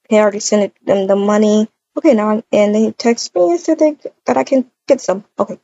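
A woman's voice: mid-range voice, fast talker, vocal fry, dark tone, no room sound, dull audio. A woman improvising a voiced video-game roleplay, chatting and narrating her own actions aloud.

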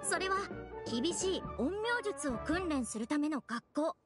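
A woman's voice: high voice, lively intonation, unhurried, music in the background. A woman voicing a bubbly, polite young girl guide in an anime game, chatty and eager to explain. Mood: helpful. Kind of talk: Japanese anime game voice acting.

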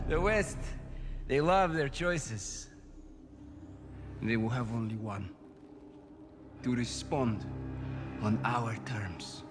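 An actor playing a cold, grandiose Russian ultranationalist villain in a video game, with a Russian accent and a deliberate, oratorical delivery. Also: male voice, medium pitch. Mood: cold threat. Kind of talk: cinematic game villain monologue. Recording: music under the voice.

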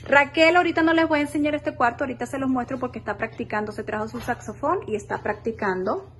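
A woman's voice: high-pitched, fast, slight background noise. A woman vlogger giving a chatty, enthusiastic house tour of a beach rental, filming with her phone as she walks. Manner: chatty, enthusiastic, addresses viewers as girls. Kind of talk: casual house-tour vlog. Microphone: phone held while walking.

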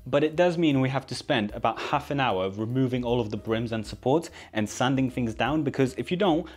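Man, thirties, medium pitch, casual tutorial narration, informal, self-deprecating maker. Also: brisk, darkish tone, slightly rough. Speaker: maker presenting a DIY build.

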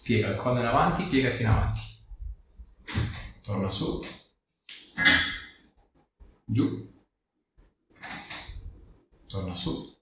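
Guy in his 30s, chiropractor talking a patient through it, creaky voice, really echoey, mic far off. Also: mid-range voice. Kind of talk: hands-on clinical instructions and questions. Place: small treatment room, indoors. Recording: very echoey room, distant mic, faint noise.

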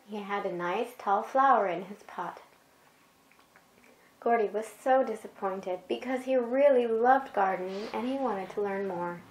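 A woman telling a children's bedtime story in an unhurried, expressive way, as if to a child beside her. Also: mid-range voice, echoey room, a little hiss or hum, rough recording.

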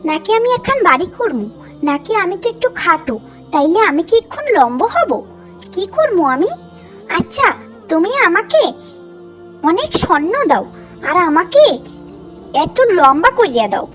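Greedy, excitable village wife, Bangladeshi accent, very high animated cartoon delivery, faint music underneath. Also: female voice, moderate pace, long pauses, exaggerated voice. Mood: greedy, excited. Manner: shrill nagging, dramatic exclamations. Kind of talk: exaggerated cartoon voice acting.

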